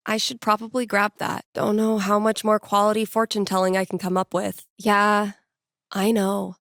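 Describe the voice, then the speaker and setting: An actress playing a sardonic, geeky young woman who works as a record store clerk and radio host, speaking in a casual, deadpan video game monologue. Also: medium pitch, moderate pace, no room sound, clean studio sound, natural voice. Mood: weary, reluctant, then wry. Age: young adult. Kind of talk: naturalistic video game voice acting, muttered inner monologue.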